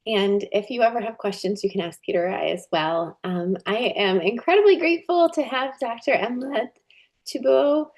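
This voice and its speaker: Woman host introducing a speaker, fairly high voice. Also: moderate pace, a little mellow. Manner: friendly, filler-heavy. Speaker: webinar host. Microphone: video call.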